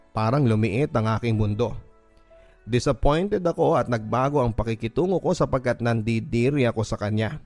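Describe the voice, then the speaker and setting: A man's voice: mid-range voice, darkish tone. A Filipino man hosting a radio drama, reading a listener's first-person story as dramatic, earnest, sentimental narration. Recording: music bed under the voice. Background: music.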